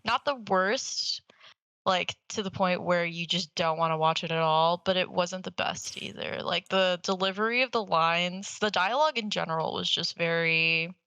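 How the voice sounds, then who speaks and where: Woman, podcast co-host, bright creaky voice, casual rambling review, informal. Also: lowish voice, normal speed, no echo. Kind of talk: casual chat, movie review.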